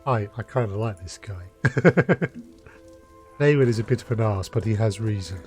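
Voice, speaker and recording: A man giving casual, informal commentary as he streams a game, chatty and unhurried. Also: fairly low pitch. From United Kingdom. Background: faint game music.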